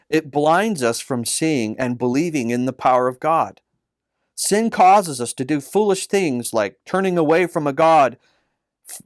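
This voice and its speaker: A kindly, patient uncle voiced by a man reading a storybook explains things to children at a middling pitch. The sound is dry, with next to no room on it. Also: no room sound, natural voice. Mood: earnest. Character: kindly uncle telling Bible history to children.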